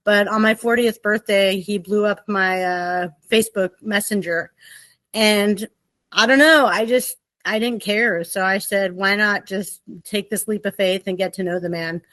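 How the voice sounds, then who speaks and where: A woman's voice: medium pitch, steady tone, unhurried, fairly bright tone, no echo. A woman in her forties from Oregon, a podcast guest telling her story candidly and at length. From Oregon, USA. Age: 40s. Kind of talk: candid personal conversation.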